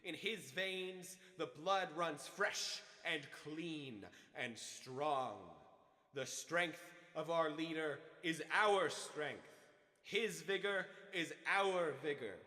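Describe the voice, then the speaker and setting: An actor playing a zealous youth leader giving a declamatory, fervent speech at a mass rally in a radio drama. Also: male voice, fairly high pitch, slow, pushed and bright. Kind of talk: old-time radio drama oratory. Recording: long hall reverb on the voice.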